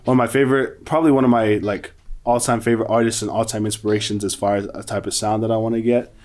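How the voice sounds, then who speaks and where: A man in his twenties from New Zealand, a musician introducing himself in a relaxed, hesitant way with many fillers. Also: fairly low pitch, fast talker. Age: 20s. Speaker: musician and producer. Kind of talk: casual self-introduction.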